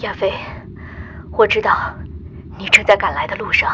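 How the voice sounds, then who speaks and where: A woman playing a grave mother leaving a halting voice message in a radio drama, in dull audio. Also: medium pitch, fairly bright tone, natural voice. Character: grave adult mother sending distant voice message. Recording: dull recorded message with faint noise.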